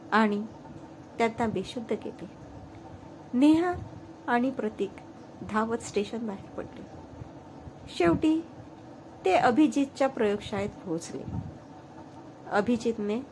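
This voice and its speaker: A woman's voice: on the high side, a little mellow, a little hiss or hum, rough recording. A woman narrating a scripted story as a storyteller. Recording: music under the voice. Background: music.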